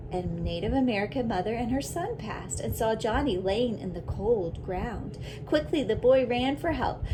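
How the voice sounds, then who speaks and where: A woman reading a children's picture book aloud like a teacher, in a deliberate way, pausing to reflect and ask questions. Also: a little high, background noise.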